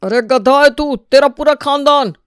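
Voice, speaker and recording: A woman's voice: high voice, unhurried, dry recording, clean studio sound. A woman voicing grumpy, indignant men in a family story, exasperated and scolding.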